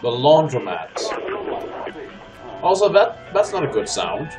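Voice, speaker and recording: A man hosting a gaming let's play, chatting casually to his audience and reading game text aloud in an animated way. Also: mid-range voice, unhurried, over music.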